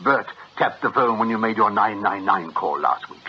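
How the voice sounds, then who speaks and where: An actor playing a jovial, wily British swindlers' ringleader in a radio drama, with an ironic, chuckling, avuncular way of talking. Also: male voice, lowish voice, moderate pace, lightly acted. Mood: genial, persuasive. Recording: dull radio recording, faint noise.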